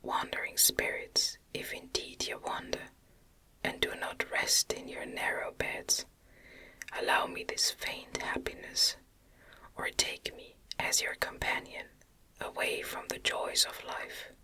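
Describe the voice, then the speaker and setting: An anguished, vengeful man of science from a gothic audiobook, whispered by a woman. The voice is all breath, bright and hissing. The archaic lines are declaimed slowly, each phrase set apart. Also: low-quality recording. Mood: pleading. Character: anguished, vengeful male scientist cursing his creation.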